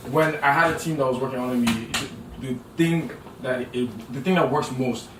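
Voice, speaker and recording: A man talks quickly and emphatically, often repeating himself. He is heard from a bit off the mic in an echoey room, with noticeable hiss underneath. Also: mid-range voice, far from the mic. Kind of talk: casual chat.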